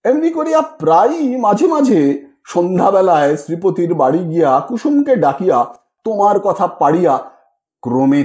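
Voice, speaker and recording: A man's voice: high-pitched, a little mellow, roomy sound. A man narrating a literary story as an audiobook reader, in a dramatic style.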